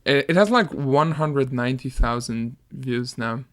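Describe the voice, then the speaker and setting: A man's voice: medium pitch, unhurried, fairly bright tone. Laid-back Danish-accented young man. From Denmark. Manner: laid-back, laughs often, hesitant restarts. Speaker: music producer streamer. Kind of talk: casual chat while producing.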